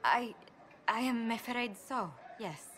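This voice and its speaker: A woman's voice: fairly high pitch, animated, slow pace, a bit bright, big-room sound, a little hiss or hum. An actress playing a proud, worried young noblewoman diplomat in a video game, speaking formally, precisely and earnestly. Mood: measured, concerned. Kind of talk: video game cutscene acting.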